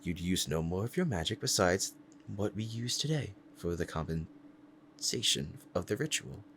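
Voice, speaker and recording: Proud demon lover, played by a man, deep-voiced, intense and deliberate, ASMR roleplay, faint background noise. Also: expressive, fairly bright tone. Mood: possessive, commanding. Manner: intense, deliberate, dramatic pauses. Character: powerful possessive demon bound to a sorcerer, devoted, proud. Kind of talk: ASMR roleplay acting, dramatic monologue.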